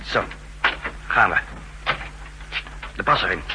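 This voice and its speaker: Low, sharp, terse jailbreak agent. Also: male voice, animated, slow, full character voice. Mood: urgent, conspiratorial. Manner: terse, hushed urgency, bossy. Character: gruff, brisk male agent running a jailbreak. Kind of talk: 1960s Dutch radio drama acting. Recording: noisy humming 1960s radio recording.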